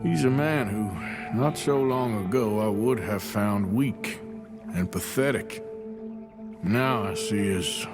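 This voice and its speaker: An actor with a Southern or Western American accent playing a weary, troubled, plain-spoken outlaw in a cinematic video game, with music behind him. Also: male voice, fairly low pitch, takes it slowly. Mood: reflective.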